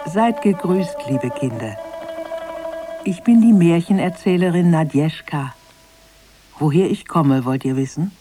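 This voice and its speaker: A woman playing a Russian fairy-tale storyteller, speaking to children in an expressive, theatrical way with deliberate emphasis. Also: lowish voice, over music. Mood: conspiratorial, playful.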